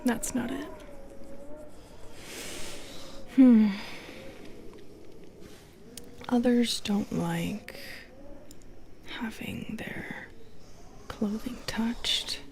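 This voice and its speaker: A sly female monster, a Medusa, acted by a woman, whispers very slowly in an intimate ASMR roleplay. The voice is fairly high and breathy with some creak, drawing out each taunt as if toying with prey. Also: a little mellow, a little hiss or hum, exaggerated voice. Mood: playful taunting. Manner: taunting, coaxing, drawn-out delivery. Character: sly, teasing, predatory female Medusa with long nails.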